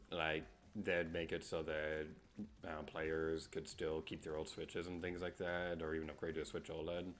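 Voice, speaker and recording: Sleepy, very deep-voiced male streamer. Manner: rambling with many fillers; sarcastic outbursts when losing. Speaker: game streamer. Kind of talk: casual stream chatter.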